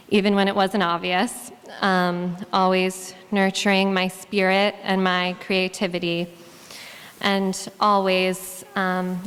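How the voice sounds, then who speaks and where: A woman giving a eulogy for her aunt at a memorial service in a church, speaking haltingly with frequent ums and pauses. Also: mid-range voice, a bit bright, clear, resonant tone. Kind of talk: memorial eulogy and poem reading. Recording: long reverb of a large hall.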